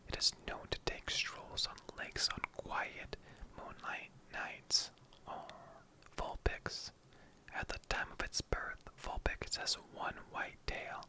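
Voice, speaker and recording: An online creator whispers throughout in a breathy, unvoiced ASMR style. The reading is soft and playful, like sharing short encyclopedia entries with chatty asides. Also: rough recording.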